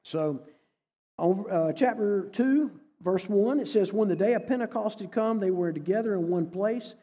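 Man, higher voice, husky tone, telling a folksy story, echoey hall. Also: moderate pace, warm tone. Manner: folksy, conversational storytelling with quoted dialogue. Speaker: guest speaker. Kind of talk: storytelling sermon. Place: church hall.

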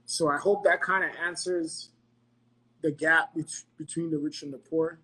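Man from Ghana, fairly high voice, earnest podcast advice-giver who repeats points and tags them with "right?". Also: steady tone, normal speed, sharp tone, rough recording. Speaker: podcast co-host giving financial advice. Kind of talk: motivational casual talk.

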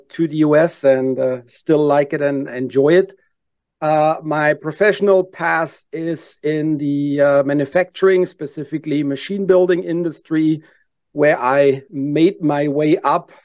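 Guest: man with German accent, methodical, fills pauses with "uh", slightly high voice. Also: no echo. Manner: steady and methodical, frequent "uh" fillers. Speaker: guest, founder. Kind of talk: interview answers.

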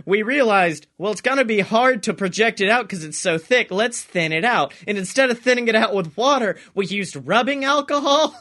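A man with a high, forceful voice chats casually as a podcast co-host. He is excitable and giggly, swears freely and spins comic stories. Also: normal speed. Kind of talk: casual comedic chat and storytelling.

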